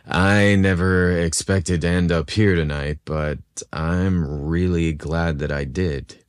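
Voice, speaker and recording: A man voicing a low-voiced, hesitant, charming workman. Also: steady tone, slow, clean tone, no echo, studio quality. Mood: tender. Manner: hesitant, soft-spoken flirting. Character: rugged, charming, slightly shy male construction manager. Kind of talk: acted dialogue in romantic audio story.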